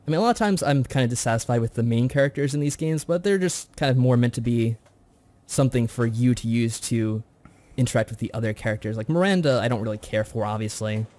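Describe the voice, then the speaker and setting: A man co-hosting a casual review chat, talking in a measured, mild-mannered way. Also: medium pitch, lively intonation, a little mellow. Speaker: podcast co-host.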